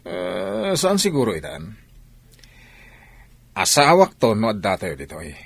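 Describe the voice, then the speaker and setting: Boastful, husky, animated young soldier played by a man. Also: on the low side, unhurried, no echo, full character voice. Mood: cocky, amused. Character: vain, womanizing married young soldier. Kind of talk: comedy radio drama acting.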